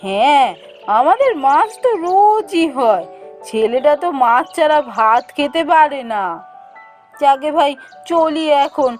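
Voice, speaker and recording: A woman's voice: sits high, expressive, a little slow, faint music behind. A woman playing a chatty, boastful village mother in a cartoon, gossiping with a drawl. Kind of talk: Bengali fairy-tale cartoon dialogue.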